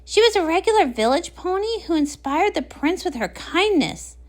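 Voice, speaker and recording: A woman's voice: extremely high pitch, very animated, moderate pace. A woman voicing a bubbly, scatterbrained female party pony, bouncy and chatty, in a cartoon comic read-along. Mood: eager.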